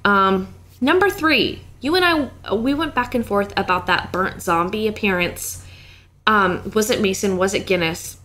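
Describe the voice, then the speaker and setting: A woman's voice: mid-range voice, a little slow. Giggly woman co-hosting a podcast. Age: 20s. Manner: giggly, playful, animated. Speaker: podcast co-host. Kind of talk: casual chat.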